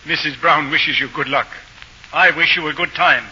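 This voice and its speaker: Casting: sardonic butcher patriarch, forceful bright delivery, dull hissy vintage radio sound. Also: male voice, on the high side, fairly even intonation, normal speed, husky, exaggerated voice. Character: stern, sceptical village butcher and father. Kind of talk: 1940s radio acting. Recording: dull old radio recording with hiss.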